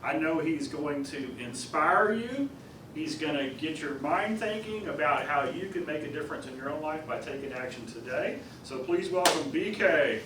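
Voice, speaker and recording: A man's voice: a little high, expressive, moderate pace, noisy background. A man hosting an event, introducing the next speaker in an upbeat, enthusiastic way. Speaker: event host. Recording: echoey hall mic from far off.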